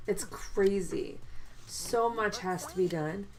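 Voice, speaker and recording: A woman commenting casually on a mobile game as she plays, her voice deepened and gravelly from a chest cold, chatty with many fillers. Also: slow pace, poor audio. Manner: chatty, mildly complaining, lots of fillers. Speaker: let's play gamer.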